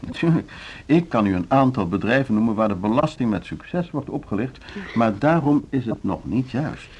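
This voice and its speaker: An actor in a Dutch radio play playing a middle-aged board chairman, formal, deliberate and courteous but unbending, with a Netherlands accent. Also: mid-range voice, lively intonation, a little mellow, husky. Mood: courteously firm. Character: measured, legalistic male board chairman of a music school.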